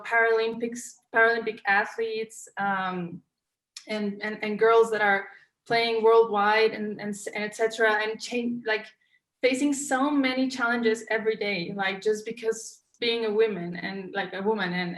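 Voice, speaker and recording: A woman's voice: on the high side, fairly bright tone. Hesitant Colombian woman in an echoey room. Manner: hesitant, many "um" fillers, searching for words. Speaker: podcast guest, co-founder. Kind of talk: casual interview talk.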